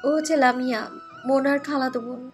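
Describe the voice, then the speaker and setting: A woman playing a young wife in a romantic audio drama. Her voice is high, coy and affectionate, full of playful adoration for her husband. Faint music runs underneath. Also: steady tone, fast, natural voice. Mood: playful pride. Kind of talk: audio love story acting.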